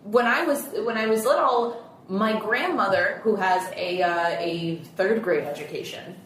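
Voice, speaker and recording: Lively woman in a very echoey room. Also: medium pitch, a little slow. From United States. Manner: animated storyteller with frequent restarts. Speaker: podcast co-host. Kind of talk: casual group chat. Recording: very roomy echo, faint room noise.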